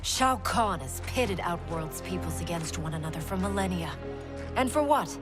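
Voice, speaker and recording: A woman's voice: on the low side, animated, normal speed, over music, natural voice. A woman playing a noble, resolute warrior princess in a video game, speaking earnestly, firmly and formally. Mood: persuasive. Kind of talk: dramatic video game acting.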